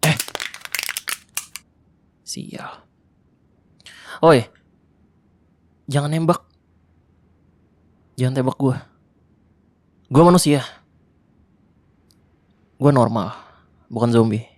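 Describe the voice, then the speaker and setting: A young man performing an ASMR roleplay monologue in casual slangy speech, tense and hushed at times, close to the mic. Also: mid-range voice, drawn-out words, long pauses, dry recording. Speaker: voice actor.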